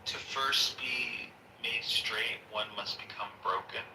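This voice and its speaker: A man voicing a slow, solemn male sage who poses riddles, heard distant and echoey. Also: mid-range voice, fairly even intonation, pushed and bright, slight background noise, voice pushed down. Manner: slow, measured, pondering.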